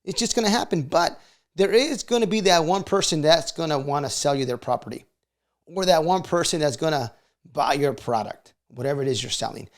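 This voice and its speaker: A middle-aged man talks in a fairly high voice with plenty of gravelly creak. He gives casual, motivational sales advice as a video host, conversational and often tagging his points with little check-in questions. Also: lively intonation, brisk. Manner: conversational, frequently seeks agreement with short check questions.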